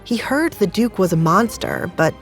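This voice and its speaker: A woman narrating a romance audio drama in a measured, even storytelling manner. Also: mid-range voice, faint music behind.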